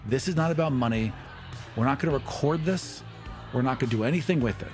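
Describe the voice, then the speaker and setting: A man's voice: mid-range voice, fast, faint music behind. A man running a tabletop roleplaying game, improvising narration and dialogue in an informal, conversational way. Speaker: game master.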